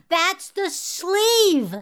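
A flustered old fairy auntie in a fairytale audio play. Her voice is high and sharply bright, and she speaks slowly. She dithers, stammers and giggles her way along. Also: exaggerated voice. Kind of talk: fairytale audio drama acting.

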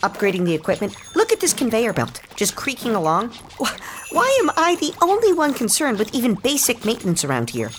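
A woman's voice: a little high, wildly swooping pitch, brisk, a little hiss or hum. A woman voicing a worried, complaining workshop elf in an audio drama. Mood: grumbling. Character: grumbling, anxious female workshop elf.

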